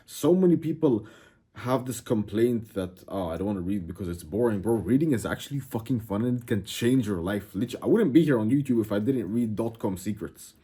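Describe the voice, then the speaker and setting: A man from Egypt whose first language is Arabic talks casually to his viewers, brash and emphatic. His voice sits low and comes out mellow with a husky edge. He leans hard on his points. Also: expressive, a little fast. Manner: emphatic, brash, keeps saying "bro". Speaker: self-improvement YouTuber. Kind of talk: casual direct-to-camera talk.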